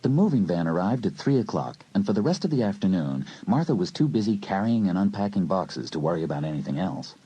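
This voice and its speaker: A man's voice: low-pitched, big pitch swings, fast talker, hardly any pauses, dark tone, breathy edge, rough recording. A man narrating a scripted suspense story as an audiobook narrator.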